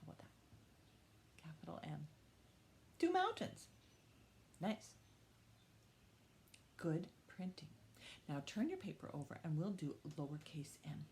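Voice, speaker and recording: A woman's voice: deep voice, wildly swooping pitch, a little slow, gravelly, long pauses, darkish tone, slight background noise. A woman hosting a children's storytime, reading and teaching in simple, encouraging, repeated phrases for young listeners. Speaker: children's librarian storytime host. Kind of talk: children's storytime with read-aloud and instruction.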